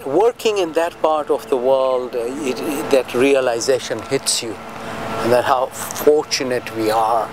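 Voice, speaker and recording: A middle-aged man from Tanzania speaks as an interviewee, reflecting on his life and work. His delivery is halting and deliberate, with an earnest tone and a medium-pitched voice. Steady urban noise is clearly audible behind him. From Tanzania, East Africa. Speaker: interviewee, pediatrician and medical association president. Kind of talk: interview answers, reflective personal account.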